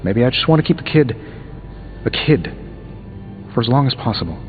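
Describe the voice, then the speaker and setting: A man's voice: medium pitch, animated, moderate pace, narrow, tinny audio, lightly acted. Male actor as wisecracking genius hero, glib, sarcastic, protective, video game dialogue, long reverb, music behind. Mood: earnest, concerned. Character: wisecracking genius superhero inventor, sardonic but protective.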